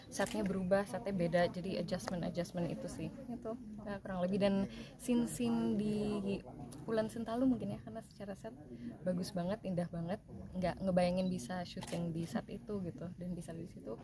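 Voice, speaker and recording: Chatty actress amid a loud crowd. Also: female voice, mid-range voice, brisk. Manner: chatty and relaxed, frequent "eh" and "em" fillers. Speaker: actress interviewed by press. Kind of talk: casual press interview. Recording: loud crowd noise behind.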